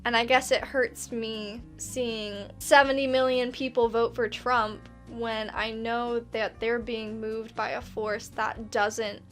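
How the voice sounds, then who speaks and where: Earnest woman vlogger, higher-pitched voice, music behind. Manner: earnest, candid. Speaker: video reviewer and host. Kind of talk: casual reflective talk to camera.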